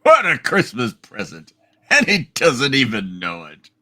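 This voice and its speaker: A scheming, imperious tycoon villain in a radio play, hammed up by a man. He speaks slowly and haughtily, with big swings in pitch and a hard, bright edge. He gloats and threatens. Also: huge pitch swings, exaggerated voice. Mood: gloating.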